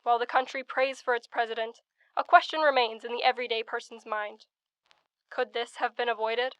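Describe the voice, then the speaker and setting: A woman's voice: fairly high pitch, steady tone, brisk, a bit bright, clear, resonant tone, dry recording, natural voice. A woman playing a grave, dramatic old-time radio news correspondent, delivering scripted commentary in a solemn, oratorical way.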